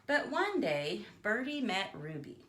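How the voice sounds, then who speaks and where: Animated woman reading a children's story. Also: mid-range voice, slow pace, reverberant, background noise. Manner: theatrical, asides to young listeners. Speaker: storybook reader. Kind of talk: expressive children's story read-aloud.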